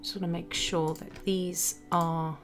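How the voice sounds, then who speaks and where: Low-pitched woman narrating a tutorial over music. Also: little pitch movement, slow. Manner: instructional, explaining each step as she goes. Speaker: graphic design tutorial instructor. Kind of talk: step-by-step software tutorial narration. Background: background music.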